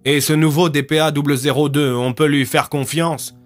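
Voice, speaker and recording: A man's voice: on the high side, steady tone, normal speed, soft background music, voice pushed up, lightly acted. A man playing an earnest, weary male police inspector in an audio drama. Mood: serious, concerned.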